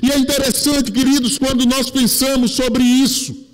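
A man's voice: very high voice, level tone, normal speed. A middle-aged man with a Brazilian accent preaching a sermon as a pastor, emphatic and explanatory, on a headset mic in a church. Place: church pulpit, indoors. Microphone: headset microphone. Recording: long hall reverb.

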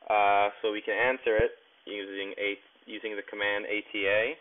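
A man narrating a step-by-step tech tutorial in a plain, instructional way with halting phrasing. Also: fairly low pitch, little pitch movement. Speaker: tutorial presenter. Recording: tinny, narrow phone-like sound.

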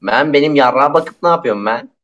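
A man's voice: medium pitch, fast, fairly bright tone, lightly acted. A man playing a crude, rattled local in an online roleplay game, speaking vulgar slang in a clowning way over a dull voice chat. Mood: cocky, threatening. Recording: dull lo-fi voice chat, keyboard typing.

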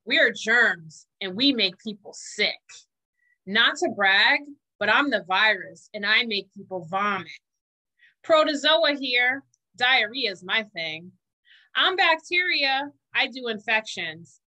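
A woman's voice: fairly high pitch, lively intonation, slow, bright, forceful tone, full character voice, poor audio. A woman voicing a cheeky, boastful, chummy germ from a children's picture book. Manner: bragging, chummy.

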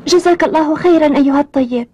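Woman voicing a polite, very high-pitched boy. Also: very fast, dark tone, lightly acted. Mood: polite, earnest. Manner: courteous, formal, clearly enunciated. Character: polite, earnest, eager young boy traveler. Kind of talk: Arabic cartoon dubbing.

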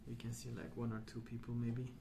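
A man speaking softly, at times near a whisper, in an unhurried, musing ASMR ramble while colouring. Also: mid-range voice, completely flat delivery, creaky, background noise. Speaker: host of a colouring ASMR channel. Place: indoors at a wooden table.

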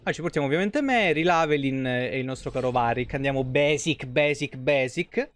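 A man's voice: medium pitch, normal speed, dry recording. A man in his thirties doing casual live game commentary and chatting with viewers, informal and swearing freely. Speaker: live streamer.

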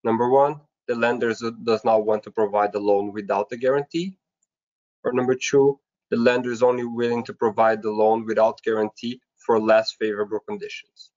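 A man's voice: lowish voice, flat delivery, a little fast, a little mellow. Formal male webinar presenter, dull audio. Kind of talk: scripted slide presentation.

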